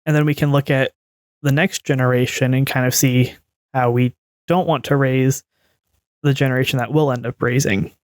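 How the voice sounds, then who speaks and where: A woman's voice: very deep voice, fairly even intonation, moderate pace, darkish tone, no room sound, studio quality. A woman in her twenties in casual discussion as a podcast co-host. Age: 20s.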